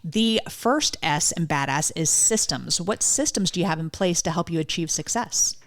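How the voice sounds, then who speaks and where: A woman's voice: on the low side, animated, moderate pace. A woman in her forties hosting a podcast interview, chatty and enthusiastic with her guest. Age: 40s. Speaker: podcast host.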